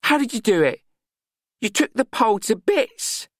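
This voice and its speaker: A man's voice: extremely high pitch, big pitch swings, normal speed, fairly bright tone, no echo, clean studio sound, voice pushed up, heavily acted. A man voicing a bossy, practical young woman in an audiobook, blunt, exasperated and scolding.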